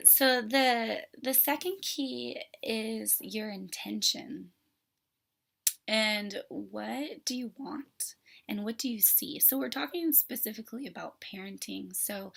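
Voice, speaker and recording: A woman parenting instructor, hoarse from a sore throat, talking earnestly to camera with many pauses and fillers. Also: mid-range voice, a bit bright. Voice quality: raspy. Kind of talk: casual talk to camera.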